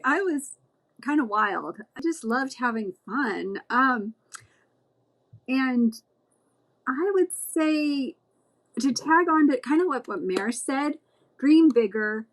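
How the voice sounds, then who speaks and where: A woman in a casual group podcast chat, warmly encouraging and speaking with many fillers. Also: fairly high pitch, rough recording. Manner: encouraging, lots of affirming murmurs and fillers. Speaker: podcast guest.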